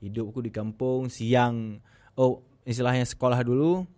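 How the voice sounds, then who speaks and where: A man's voice: mid-range voice, flat delivery, moderate pace, dark tone. A young man from Aceh with an Acehnese accent, talking earnestly as a podcast guest and repeating himself for emphasis. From Aceh, Indonesia. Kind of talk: casual podcast conversation.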